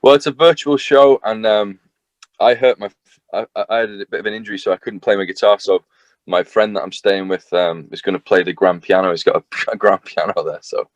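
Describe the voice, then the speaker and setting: Young northern english man, very deep voice, dry close sound, chatty and rambling. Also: fairly even intonation, fairly quick, dry recording. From north of England. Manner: rambling, self-deprecating, chatty with many fillers. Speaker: singer-songwriter guest. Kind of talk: casual interview chat.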